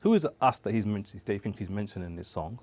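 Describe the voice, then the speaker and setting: A man's voice: fairly low pitch, animated, racing, a little mellow. A man talking as a guest in a casual studio discussion, emphatic and fond of rhetorical questions, joking now and then. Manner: emphatic, asks rhetorical questions, jokes and laughs.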